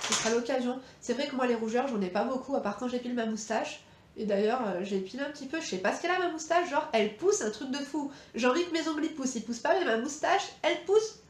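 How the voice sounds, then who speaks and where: A woman's voice: high-pitched, reverberant. A woman with a French accent chatting casually through a makeup tutorial, rambling with lots of fillers and slangy asides. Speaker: beauty vlogger. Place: indoor room at home.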